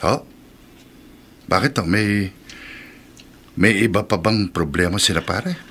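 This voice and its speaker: A bright-voiced, polite Filipino family friend. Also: male voice, sits low, slow pace, breathy edge, exaggerated voice. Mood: concerned. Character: respectful, concerned Filipino family friend and godfather. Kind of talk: Tagalog radio drama acting.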